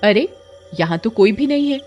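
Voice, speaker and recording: An anxious village wife, voiced by a woman, speaks in a highish, swooping voice. She questions and exclaims in melodramatic horror-story style, with music underneath. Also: lively intonation, normal speed, a little mellow, lightly acted. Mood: puzzled.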